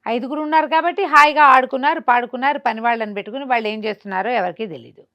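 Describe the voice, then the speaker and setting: A woman's voice: on the high side, rapid-fire, highs cut off. A woman with a Telugu accent telling a folk tale conversationally, expressively acting out the dialogue of its characters. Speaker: storyteller.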